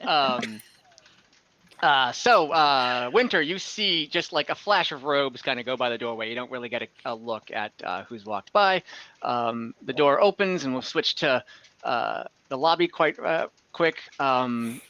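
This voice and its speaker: A man improvising narration as game master of an online tabletop game, haltingly searching for words. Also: medium pitch, sharp tone, no echo, lo-fi sound.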